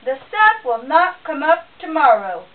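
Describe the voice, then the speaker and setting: A booming, bragging bear who drags out every boast, as a woman puts him on. The sound is thin and phone-like, and it comes from a few steps away from the mic. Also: very animated, measured pace. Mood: boastful. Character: boastful big male bear, loud and proud. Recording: tinny lo-fi recording from a distance.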